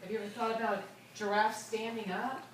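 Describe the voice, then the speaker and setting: A woman reading a picture book expressively to young children, pausing to ask them questions and echo their answers. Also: mid-range voice, steady tone. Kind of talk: expressive storybook read-aloud with questions to children. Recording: echoey room, distant mic, noisy background.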